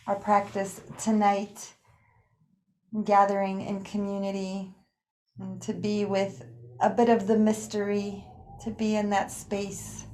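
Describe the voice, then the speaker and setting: A woman leading a guided relaxation practice in an unhurried, soothing manner, pausing often between phrases. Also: medium pitch, warm tone, low-quality recording. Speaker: guided meditation teacher. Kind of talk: guided yoga nidra relaxation.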